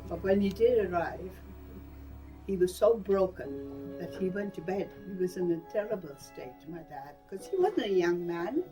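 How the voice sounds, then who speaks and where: An older woman from Burma shares memories in an interview. Her voice sits a little low and is soft-edged and mellow. She tells it plainly and with emphasis, over faint music. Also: expressive, normal speed, rough recording. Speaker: interviewee recalling wartime life. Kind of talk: personal reminiscence.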